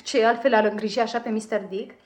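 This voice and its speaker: A brisk housemaid played by a woman in a 1950s radio play. Her voice sits a little high, and she talks bluntly and chattily, with a kindly streak. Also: fairly even intonation, natural voice. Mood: chatty. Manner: brusque, chatty. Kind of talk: 1950s radio drama acting.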